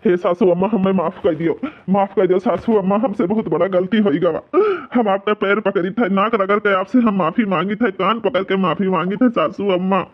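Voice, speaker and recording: A man's voice: high voice, fairly quick, few pauses, dull audio. A man voicing an anxious, humbled village son-in-law in an Awadhi cartoon comedy, pleading melodramatically and repeating himself. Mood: pleading, apologetic.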